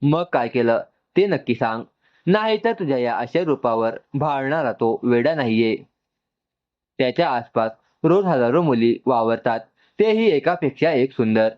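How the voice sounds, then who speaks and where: An angry, suspicious sister in a storybook drama acted out by a man, firing accusing questions with big pitch swings. The sound is a bit dull and lo-fi. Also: animated, a little slow, close to an everyday voice. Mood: scornful. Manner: sharp interrogating, accusing.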